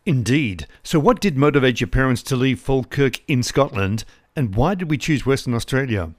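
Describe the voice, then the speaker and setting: A man's voice: medium pitch, animated, moderate pace, no room sound, studio quality. A man hosting a radio-nostalgia interview show, introducing his guest and asking questions. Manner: upbeat, scripted intro. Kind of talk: podcast interview.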